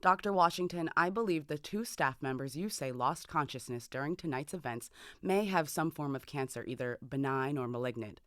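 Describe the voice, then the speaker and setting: A woman's voice: deep voice, expressive, moderate pace, few pauses, no echo, clean studio sound. A woman playing a guarded, unyielding federal medical investigator in an audio drama, formal and clipped. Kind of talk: dramatic podcast acting.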